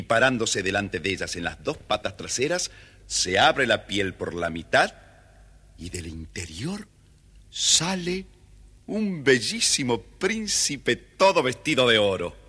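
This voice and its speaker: A man's voice: on the low side, big pitch swings, a little slow, pushed and bright, a little hiss or hum. A man with an Argentine accent, narrating a children's story dramatically as the storyteller.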